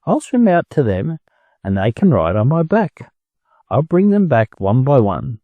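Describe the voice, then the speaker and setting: A man voicing a confident, reassuring and gently firm mother duck in a storybook reading. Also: medium pitch, sing-song, a little slow, no echo, phone-quality sound, voice pushed up.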